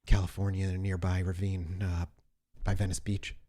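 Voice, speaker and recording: A man voicing an obsessed young female copycat killer in a tabletop role-play, defiant and evasive, halting and repeating words. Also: sits low, monotone, warm tone. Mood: evasive, confessional.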